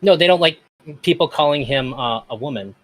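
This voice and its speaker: Hesitant man, soft-edged voice. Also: mid-range voice, animated, brisk, slight background noise. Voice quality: voice that strangers hear as feminine. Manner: hesitant, with many fillers. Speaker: host. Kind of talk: casual discussion.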